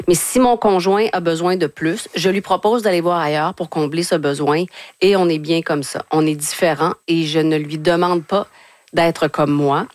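A woman hosts an advice program and reads listener emails in a brisk, curious way. Her voice sits fairly low. The sound is dry and close. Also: no echo. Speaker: radio host. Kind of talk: hosting a call-in advice show.

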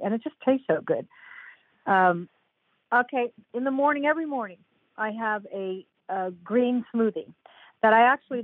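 A woman's voice: mid-range voice, no room sound. Woman on a tinny phone-like line. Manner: chatty, emphatic, animated retelling. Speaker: guest author. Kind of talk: casual interview talk.

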